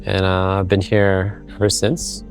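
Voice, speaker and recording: A man's voice: low voice, completely flat delivery, unhurried, over music. A man in his thirties with an American accent, speaking reflectively as a farmer in a documentary interview. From United States (born in Brazil, raised in the US). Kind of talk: documentary interview, explanatory storytelling.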